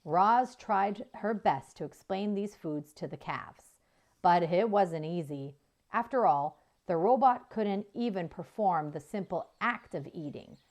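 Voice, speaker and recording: A woman's voice: lowish voice, animated, measured pace, darkish tone. A woman narrating a children's book as a scripted read-aloud. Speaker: storybook narrator.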